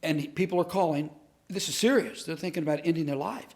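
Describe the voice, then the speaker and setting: A man with a fairly high voice tells true stories to teach a lesson. His delivery is earnest and animated. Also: fast. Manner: earnest, animated storyteller who acts out quotes. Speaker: comedic speaker and teacher. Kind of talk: storytelling lesson to camera.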